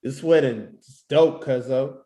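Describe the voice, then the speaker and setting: A man's voice: medium pitch, unhurried, warm tone, lo-fi sound, close to an everyday voice. A man acting a brash, teasing relative of a groom in a comedy table read, casual and slangy, in an echoey room. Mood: amused.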